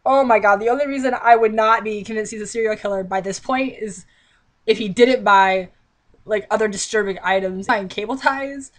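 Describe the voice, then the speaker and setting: A woman's voice: mid-range voice, moderate pace. A young woman reading a book aloud and mocking it with sarcastic, exasperated, theatrical commentary, recorded on a webcam in a small bedroom. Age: young adult.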